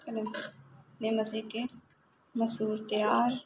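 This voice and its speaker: A woman's voice: a little high, takes it slowly. A woman vlogging at home, casually explaining her chores and cooking to viewers. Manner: relaxed, explanatory, addresses viewers directly. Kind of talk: casual chat narrating daily chores and cooking. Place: home kitchen, indoors. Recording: noisy home recording.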